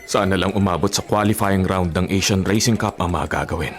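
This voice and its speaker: An actor with a Filipino accent playing a skeptical, authoritative racing team chief in a radio drama. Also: male voice, low-pitched, fairly quick, close to an everyday voice. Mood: serious, directive. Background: music.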